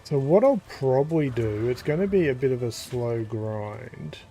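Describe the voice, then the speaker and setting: A man's voice: medium pitch, normal speed, slight background noise. Laid-back man thinking aloud. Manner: relaxed, thinking aloud while playing. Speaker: gaming YouTuber. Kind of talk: casual gameplay commentary.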